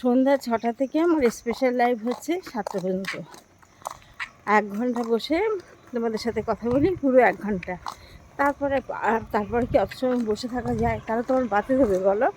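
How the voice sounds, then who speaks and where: A woman's voice: fairly high pitch, brisk. Chatty woman vlogging in an echoey space. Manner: chatty, addresses viewers directly, repeats herself. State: out of breath from walking. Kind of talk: casual chatty vlog to viewers. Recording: echoey with loud background noise.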